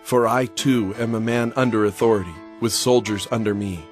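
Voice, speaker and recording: A man's voice: on the low side, steady tone, moderate pace, soft background music, natural voice. A man playing a humble, earnest Roman centurion in an audio Bible drama.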